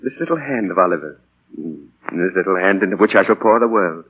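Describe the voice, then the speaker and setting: A man's voice: deep voice, lively intonation, quick pace, slightly rough. A man playing a doting, idealistic father and novelist in 1940s radio drama, speaking earnestly and theatrically, hesitant when moved. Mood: rapt, tender. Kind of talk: 1940s radio drama acting with diary narration. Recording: muffled old 1940 radio broadcast, music behind.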